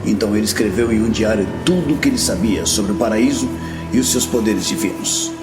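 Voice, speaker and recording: An actor playing a grim, world-weary veteran warrior master in a fantasy audio drama, speaking bluntly and solemnly. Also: male voice, on the low side, fairly quick, natural voice. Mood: grave, confiding. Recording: background music.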